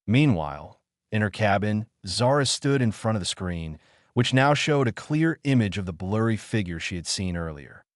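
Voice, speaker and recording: Low male voice, dramatic sci-fi audiodrama narration, lively intonation, dry close recording. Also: normal speed, no room sound.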